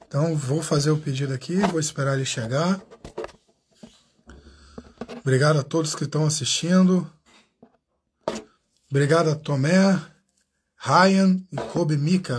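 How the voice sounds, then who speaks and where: A man's voice: fairly high pitch, moderate pace, pauses often, a little hiss or hum. A Brazilian man hosting a live interview stream, chatting casually, thanking viewers by name and often restarting his sentences. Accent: Brazilian Portuguese. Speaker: channel host.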